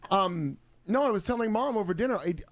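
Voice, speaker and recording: A woman's voice: medium pitch, expressive, fairly quick, a little hiss or hum. Wry, teasing woman in her forties. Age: 40s. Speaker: co-host. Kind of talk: casual chat.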